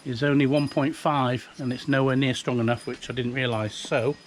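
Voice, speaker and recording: A man's voice: mid-range voice, flat delivery, moderate pace. Noisy handheld recording, middle-aged British man. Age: 50s. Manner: chatty, unpolished, rambling. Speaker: hobbyist video maker. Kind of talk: casual handheld show-and-tell. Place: outdoors beside a house, breezy. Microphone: handheld camera or phone.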